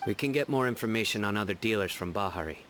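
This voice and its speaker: An actor playing a stern, composed young investigator in a video game, measured and serious. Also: male voice, fairly low pitch, lively intonation, fairly bright tone, a little hiss or hum. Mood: grave, determined. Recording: game music behind.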